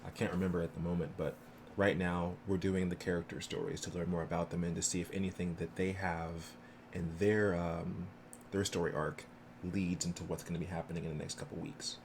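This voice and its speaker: A man's voice: sits low, level tone, fairly quick. A man casually chatting to viewers as he streams a video game. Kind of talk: casual livestream chat with viewers. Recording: clear noise behind the voice.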